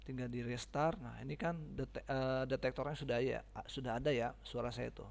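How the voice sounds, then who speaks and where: A man giving a casual, informal step-by-step software tutorial, often checking in with his listeners. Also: mid-range voice, fairly even intonation, fairly quick, vocal fry.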